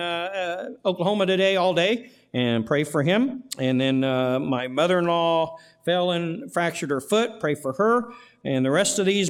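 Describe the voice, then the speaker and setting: A male pastor with a slightly high voice leads his church through announcements and a prayer. He speaks in a folksy way, repeating words and filling gaps with "uh". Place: church sanctuary.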